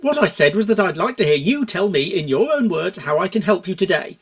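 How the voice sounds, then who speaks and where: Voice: medium pitch, big pitch swings, fairly quick, voice pushed up. A performer voicing a suave, middle-aged cartoon stallion consultant with polite, pompous, flowery speech in a story reading. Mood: courteous, businesslike.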